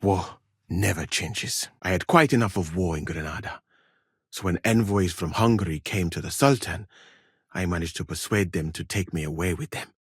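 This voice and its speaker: An actor playing a learned, well-travelled West African physician in a video game, speaking formally and precisely with dry wit and a husky voice. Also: male voice, low voice, no echo. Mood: measured, learned. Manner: formal, measured, precise diction with dry irony. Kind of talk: video game character dialogue.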